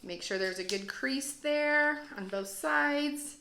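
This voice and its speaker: Woman teacher talking to little kids, kinda high bright voice, encouraging, roomy echo. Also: animated, slow pace, a little hiss or hum. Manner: encouraging, self-deprecating. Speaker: second grade teacher, guest reader. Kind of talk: read-aloud storytelling and casual explaining for children.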